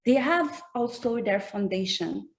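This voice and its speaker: A woman with a French accent speaks slowly and thoughtfully as a guest in an interview. She often pauses to find her English words. Her native language is French. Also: medium pitch, animated. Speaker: musician guest. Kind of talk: casual interview chat.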